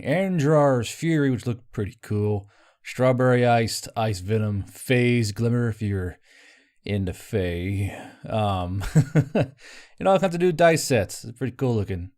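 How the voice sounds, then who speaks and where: Mellow-voiced man doing a casual sponsor read. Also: mid-range voice, animated, takes it slowly. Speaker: channel host.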